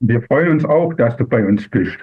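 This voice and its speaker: A man interviewing a guest, asking calm and friendly questions. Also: mid-range voice, moderate pace, husky. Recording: phone-like, thin.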